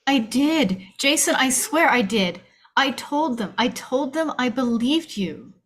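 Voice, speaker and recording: A woman's voice: high-pitched, animated, slow pace, a bit bright, slightly rough, full character voice. A woman playing a frightened young agency staffer, pleading and defensive, in a screenplay table read.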